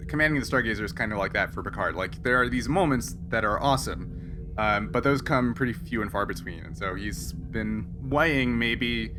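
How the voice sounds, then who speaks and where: A man explaining and narrating a tabletop roleplaying game as game master, in a conversational, halting way. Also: lowish voice, background noise. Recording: background music under the voice.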